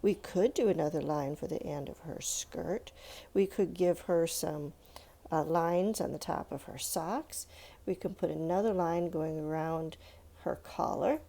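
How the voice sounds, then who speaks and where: A woman's voice: low voice, unhurried, vocal fry, dark tone. A woman giving an informal step-by-step drawing lesson for children, encouraging and thinking aloud as she goes. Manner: encouraging, thinking aloud, addressing young viewers with "let's" and check-ins. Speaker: art teacher for children.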